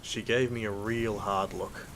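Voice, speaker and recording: A man's voice: on the low side, fairly even intonation, unhurried, fairly bright tone, slight background noise, rough recording. An Australian man playing a proud, blunt field hunter who narrates an audio drama in a weary, colloquial way. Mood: weary, brooding.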